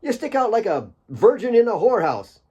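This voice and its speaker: An exuberant young male miner in an audiobook, played by a male reader. His voice sits high, and he talks in cheeky, teasing banter with a boastful streak. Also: big pitch swings, brisk, mellow, breathy edge, dull audio, heavily acted. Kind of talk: audiobook character dialogue.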